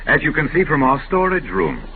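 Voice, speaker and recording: A man's voice: medium pitch, wildly swooping pitch, brisk. A man playing a polite, formal humanoid robot servant who courteously insists, in a classic radio drama. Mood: calm, assured. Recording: muffled, noisy vintage radio recording.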